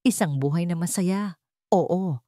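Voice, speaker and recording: A Filipino woman reading a scripted romance story in a measured, emotive storytelling manner. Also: lowish voice, warm tone, no room sound, clean studio sound. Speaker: story narrator.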